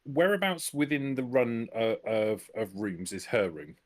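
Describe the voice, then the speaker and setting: A man playing a tabletop roleplaying game, casually and haltingly asking methodical questions and stating actions. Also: mid-range voice, darkish tone.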